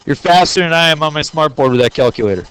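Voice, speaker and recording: A man's voice: on the high side, animated, fast, fairly bright tone, smooth. A man teaching a math lesson to his class, joking and playful, talking straight to the students. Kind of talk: classroom lesson recorded on video.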